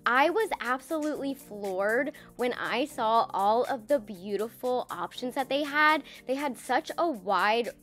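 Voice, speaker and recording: A woman's voice: on the high side, lively intonation, unhurried, hardly any pauses, a bit bright, soft background music. Upbeat young woman narrating DIY tips. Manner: enthusiastic, upbeat and explanatory. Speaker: DIY renovation vlogger. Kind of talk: voice-over narration of a DIY project. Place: voice-over, not recorded in the pictured bathroom.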